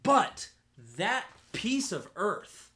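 A man's voice: sits high, sing-song, drawn-out words, bright, forceful tone. Emphatic, opinionated man talking movies. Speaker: podcast host. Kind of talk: casual film discussion.

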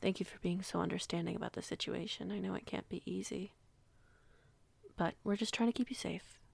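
A woman playing a protective female werewolf pack alpha in an audio roleplay, speaking in a measured, polite, reassuring way. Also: on the low side, fairly even intonation, creaky, a little mellow, husky, no room sound, heavily acted. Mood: apologetic, reassuring. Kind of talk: audio roleplay acting, conversational.

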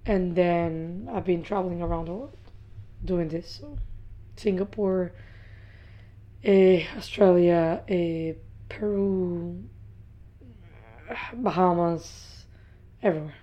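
Woman from Ecuador, mellow tone, brief matter-of-fact answers, dull lo-fi recording. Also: lowish voice, steady tone, takes it slowly, long pauses. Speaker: restaurant part owner, guest. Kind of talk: casual interview answers. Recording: dull lo-fi audio.

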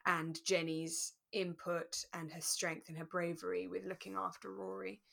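Lower-pitched woman chatting thoughtfully. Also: little pitch movement, moderate pace, gravelly, a bit bright. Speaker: podcast co-host. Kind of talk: relaxed chat reviewing a radio drama.

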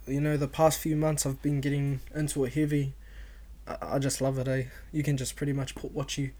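A young man in his twenties with a New Zealand accent hosts his own podcast. He talks at an easygoing pace in a level, fairly monotone voice. He often pauses and fills the gaps with "um" and "you know". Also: medium pitch. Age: 20s. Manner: laid-back, self-described introvert, many fillers and restarts. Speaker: podcast host. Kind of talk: casual solo podcast introduction.

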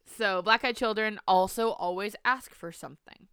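Twenties woman, podcast co-host, casual and profane, smooth clear voice, dry close mic. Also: mid-range voice, moderate pace, dry recording. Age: 20s. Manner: swears freely, teasing and animated. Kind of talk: casual chat and storytelling.

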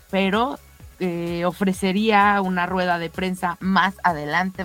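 A woman radio co-host runs through sports news in a conversational, emphatic manner. Light music plays behind her middling-pitched voice. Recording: music bed under the voice.

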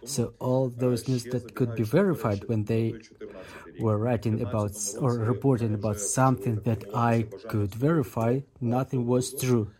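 A man giving interview answers in English translation, speaking in a measured way with restarts and repeated phrases. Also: medium pitch, poor audio.

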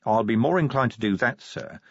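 A man voicing a short-tempered police commander in a storybook, gruff, blunt and sarcastic. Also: medium pitch, fairly quick, no echo, lo-fi sound. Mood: gruff, impatient.

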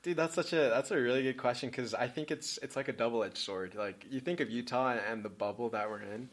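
A man's voice: mid-range voice, brisk. A young man from Peru talking casually as a podcast guest, laid-back and rambling with many fillers and restarts. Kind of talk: casual chat.

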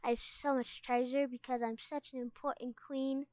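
A woman's voice: sits high, little pitch movement, moderate pace, darkish tone, clean tone, dry recording, natural voice. Woman voicing a small young dragon playing pompous queen. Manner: mock-regal, dramatic, hesitant.

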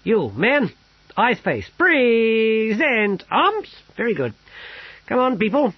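A man voicing Bane, a brisk soldier who barks orders at guards, in a storybook reading. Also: high-pitched, very animated, slightly rough, no echo, lo-fi sound, voice pushed up, exaggerated voice. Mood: commanding. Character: brisk commanding male soldier leader.

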